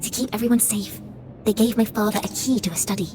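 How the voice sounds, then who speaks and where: A woman's voice: medium pitch, steady tone, fast, music in the background, close to an everyday voice. An actress playing an earnest young songwriter in a video game, confiding her family's secret in scripted monologue. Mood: wistful.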